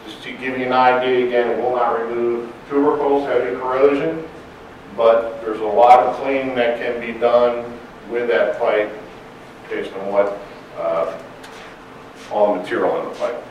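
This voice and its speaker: A man giving a technical conference presentation in a large room, speaking loudly and plainly. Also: mid-range voice, steady tone, normal speed, slight background noise. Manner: loud, plain-spoken, apologetic about slides. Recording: very echoey room, distant mic.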